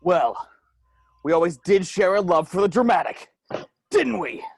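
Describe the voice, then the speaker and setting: Menacing crime lord, acted by a man, raspy and dramatic, big pitch swings, close dry sound. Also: expressive, unhurried, no room sound, exaggerated voice. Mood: taunting, menacing. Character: theatrical, menacing male crime boss. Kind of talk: video-call table read of a film script.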